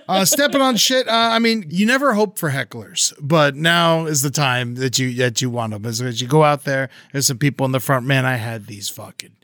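A man's voice: medium pitch, lively intonation, moderate pace, hardly any pauses, fairly bright tone, dry recording, clean studio sound. A man co-hosting a comedy podcast, telling profane, rambling stories and running a game. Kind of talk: crude comedy podcast banter. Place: podcast studio.

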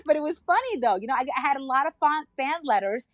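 A woman's voice: high voice, animated, quick pace, dry recording, highs cut off. A woman from New Jersey, a former radio traffic reporter, telling stories in an interview, acting out quotes and laughing often. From New Jersey, USA. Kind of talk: casual interview storytelling.

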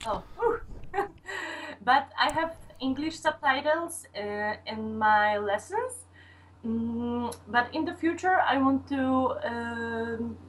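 A young woman with a Polish accent, giving casual interview answers in halting English as she searches for words. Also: a little high, lively intonation, fairly bright tone. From Poland. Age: young adult. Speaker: podcast guest, sex education video maker. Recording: distant mic with faint background noise.

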